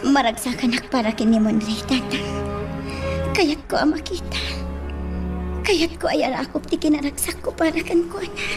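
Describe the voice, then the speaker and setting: Woman acting as a tender mother figure, high voice, music clearly underneath. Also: steady tone, normal speed, pauses often, lightly acted. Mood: happy. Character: loving mother or aunt.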